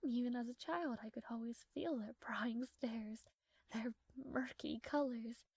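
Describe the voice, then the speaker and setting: A woman's voice: fairly high pitch, a little slow, a little mellow, slightly rough, no echo. A woman playing a proud, strong-willed young princess in a romantic audio roleplay, speaking formally with flustered hesitations. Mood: softly vulnerable. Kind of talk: scripted romantic audio roleplay addressed to the listener.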